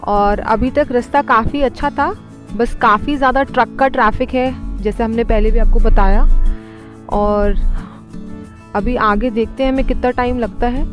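A woman's voice: on the high side, steady tone, normal speed. Woman narrating a road trip over music. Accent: Indian English. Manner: chatty, mixes Hindi and English, gives tips. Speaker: travel vlogger. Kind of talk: casual travel narration. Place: inside a car on a highway. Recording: music playing under the voice.

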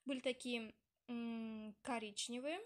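A woman's voice: fairly high pitch, steady tone, a little slow. A young woman with a stuffy, nasal voice from a cold, chatting through a home vlog as she shows her purchases and lists their prices one by one. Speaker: vlogger. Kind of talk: casual vlog show-and-tell. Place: apartment at a family birthday. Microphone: handheld phone camera. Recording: noisy home phone recording.

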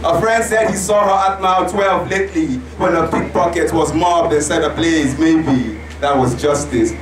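A young Nigerian man reciting performance poetry with a rhythmic, impassioned delivery into a handheld mic at a live venue. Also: medium pitch. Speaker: spoken word poet. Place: indoor lounge venue with live audience. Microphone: handheld mic, far camera. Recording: roomy echo from far off, crowd behind.

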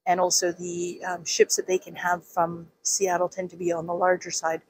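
A woman in her fifties with a fairly low voice, talking casually to her phone held at arm's length. She speaks outdoors and often stops and starts with ums. Faint outdoor noise sits behind her. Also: completely flat delivery, normal speed, low-quality recording. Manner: chatty, many ums and restarts. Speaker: vlogger. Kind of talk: casual walking vlog. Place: outdoors on a city pier.

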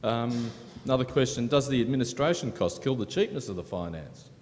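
A man's voice: medium pitch, lively intonation, fairly quick, darkish tone. A man moderating a panel, putting questions in a casual way. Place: large hall panel event with audience. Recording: echoey hall, faint ambient noise.

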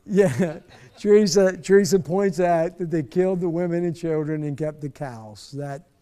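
A man's voice: on the high side, normal speed, warm tone. A middle-aged man, a pastor, teaching a bible study from a church stage in a conversational way, often repeating words as he searches for them.

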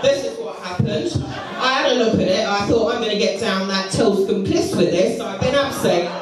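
A woman's voice: medium pitch, moderate pace. Woman doing stand-up in an echoey club. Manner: animated storytelling with acted-out voices. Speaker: stand-up comedian. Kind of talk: stand-up comedy set. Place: comedy club stage. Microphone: handheld microphone. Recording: echoey club, from far off, crowd. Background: audience laughter.

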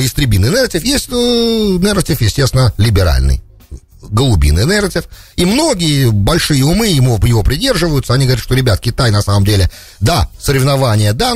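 A man's voice: medium pitch, big pitch swings, fast, husky, no echo, faint music behind. A middle-aged man giving off-the-cuff political commentary as a radio host, close on a studio mic. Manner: peppers speech with English terms. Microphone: close studio broadcast mic on boom arm.

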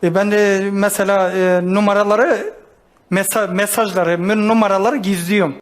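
Very high-pitched, stammering man. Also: little pitch movement, moderate pace. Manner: stammering, repetitive, stubborn. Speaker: talk show guest. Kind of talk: defensive, rambling talk.

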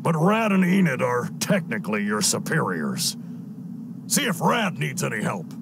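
A burly, bashful store boss from a cartoon game. The voice is gruff and crackles with gravel, swinging widely in pitch. Game sound runs clearly behind it. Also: male voice, huge pitch swings, moderate pace. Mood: hesitant, confiding. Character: gruff, brawny, bashful adult bodega boss.

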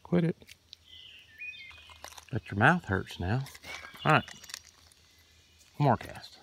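A man giving relaxed commentary while fishing a creek on a handheld camera, chuckling and talking to viewers. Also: mid-range voice, slow, vocal fry. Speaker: fishing vlogger. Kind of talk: casual outdoor commentary. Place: outdoors by a creek. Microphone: handheld camera, point of view. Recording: outdoor camera audio with insect noise. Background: crickets and insects.

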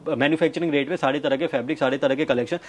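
A man in his thirties giving a sales pitch to camera, listing things with repetitive emphasis. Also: very fast, a little hiss or hum. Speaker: fabric manufacturer's representative presenting stock. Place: fabric showroom indoors. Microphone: clip-on wireless lapel mic.

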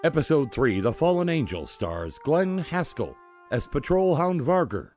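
A man's voice: a little high, very animated, unhurried, a little mellow, soft background music. A man narrating an adventure serial in a theatrical, scripted pulp style.

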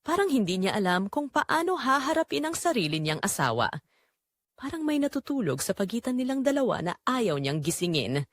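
Filipino woman narrating with lively, swooping pitch. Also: no echo, studio quality. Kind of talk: first-person scripted dramatic narration of a romance story.

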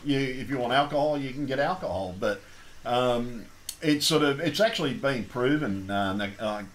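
Australian man, call-in show host, mid-pitched, chatty and rambling, faint hiss behind. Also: normal speed. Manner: rambling, chatty, frequent ums and restarts. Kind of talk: casual call-in chat.

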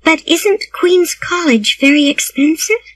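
A woman voicing an eager, dramatic young girl in a storybook reading, pitched high and full of emotion. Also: expressive, a little slow. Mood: delighted.

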